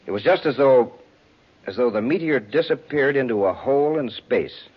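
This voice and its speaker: An actor playing an earnest, shaken space lieutenant, intense and insistent, in a 1950s radio serial. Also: male voice, mid-range voice, lively intonation, moderate pace, breathy edge. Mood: troubled. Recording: dull 1950s radio recording.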